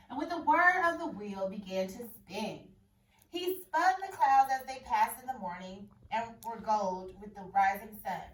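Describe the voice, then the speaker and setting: A woman's voice: on the high side, far from the mic. A woman teacher reading a picture book aloud to her class, stopping to ask them questions. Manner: expressive storybook reading with pauses for questions. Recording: echoey room, voice from off-mic.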